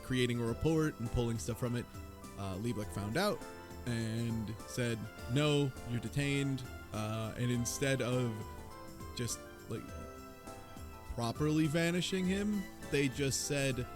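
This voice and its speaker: A man co-hosting a talk show, casually recapping a story in a wry, incredulous way. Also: medium pitch. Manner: wry, incredulous, laughs while talking. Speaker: podcast co-host. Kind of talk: casual joking recap discussion.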